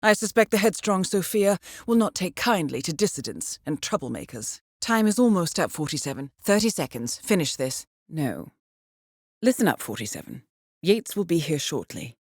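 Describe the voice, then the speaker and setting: An actress playing a composed, shrewd intelligence handler in a video game, briefing an assassin in a measured, formal way with dry understatement. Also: female voice, fairly low pitch, big pitch swings, no room sound. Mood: cool urgency. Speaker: mission handler guiding an assassin. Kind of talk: scripted video game voice acting, briefing narration.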